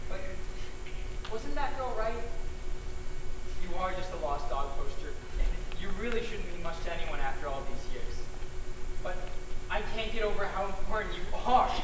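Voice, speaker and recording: A man's voice: high voice, recorded from a distance, background noise. A young man playing an earnest, lonely, wistful dreamer in a stage play, in an echoey recording. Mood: anguished, doubting. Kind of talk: one-act stage play monologue.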